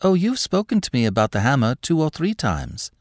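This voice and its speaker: A man voicing a calm, sympathetic lady who questions politely and gently in a story. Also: medium pitch, a little fast, no echo, studio quality, pitched above the performer's own voice. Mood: calm, coaxing.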